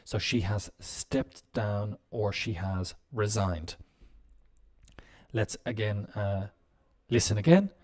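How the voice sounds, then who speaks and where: A man teaching business English on a live stream, explaining slowly with many "um"s and "uh"s. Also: lowish voice, expressive, a little mellow. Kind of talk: live-stream language lesson.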